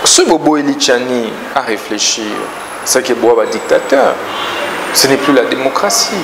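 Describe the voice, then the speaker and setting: A man with a Congolese accent lays out his case point by point, at a middling pitch and with a lecturing emphasis. His voice carries echo from a hard-walled room, and a steady air-conditioner hum runs underneath. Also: moderate pace. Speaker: political guest. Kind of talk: explanatory talk-show interview.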